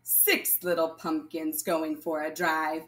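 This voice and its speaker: Female primary teacher, lower-pitched, very unhurried delivery, read-aloud counting lesson for little kids, leaves gaps for answers. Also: little pitch movement, a bit bright. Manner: instructional, addressing young children, pausing for answers. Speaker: primary school math teacher.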